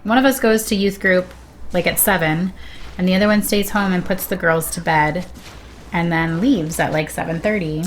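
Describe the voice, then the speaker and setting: A woman's voice: on the low side, normal speed, slight background noise, rough recording. A middle-aged woman talking casually as a guest on a game stream. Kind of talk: casual chat.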